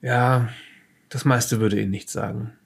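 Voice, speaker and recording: Man playing a tense, gravelly occult professor. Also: medium pitch, steady tone, full character voice. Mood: guarded. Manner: hesitant, halting, testy. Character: tense, defensive male occult professor under police questioning. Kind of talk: improvised audio drama dialogue.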